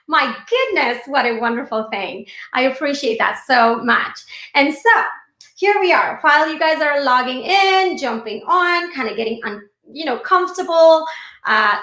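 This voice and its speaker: A woman's voice: a little high, pushed and bright, roomy sound. A woman from India who moved to the United States, giving an informal motivational talk in a chatty way, speaking directly to livestream viewers. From born and raised in India, moved to the United States at fifteen. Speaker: speaker hosting a live online session.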